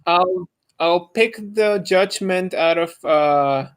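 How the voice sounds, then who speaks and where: A man's voice: sits high, slow pace, slight background noise. A young man talking casually with friends as a member of a podcast. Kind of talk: casual group chat.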